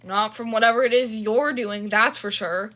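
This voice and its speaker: A melodramatic teenage boy, read by a woman in an audio story. The voice is bright and fairly high, exasperated and full of complaint. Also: steady tone, moderate pace, natural voice. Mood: disgusted, exasperated. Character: exasperated, dramatic, easily disgusted teenage boy. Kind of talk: fan fiction audio reading.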